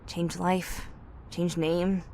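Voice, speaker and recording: An actress playing a timid, wistful young maid in a video game, speaking in a cautious, gently teasing way. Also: female voice, on the low side, flat delivery, extremely slow, slight background noise. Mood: wistful, curious. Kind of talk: video game cutscene acting.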